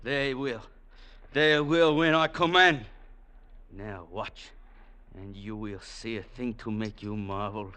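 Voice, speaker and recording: An actor playing a proud, ruthless Chinese warlord general with imperious, formal speech, in vintage radio drama style. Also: male voice, on the low side, pushed and bright. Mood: defiant, commanding. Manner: imperious, grandly formal, deliberate. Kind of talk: 1940s-50s radio drama acting. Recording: vintage radio broadcast recording.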